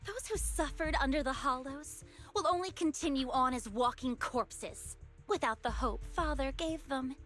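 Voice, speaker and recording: A woman's voice: sits high, normal speed, a bit bright. An actress playing a fanatical young female cult villain in an anime video game, haughty and taunting in a theatrical way. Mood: taunting, reverent. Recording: music behind the voice.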